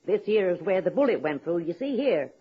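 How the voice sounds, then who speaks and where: Muffled old radio recording of a folksy, unworldly woman. Her husky voice swings widely in pitch, wry and blunt, with homely, ungrammatical turns of phrase. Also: a little fast. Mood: wry, chatty. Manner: folksy, ungrammatical, drawling deadpan. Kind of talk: radio comedy drama acting.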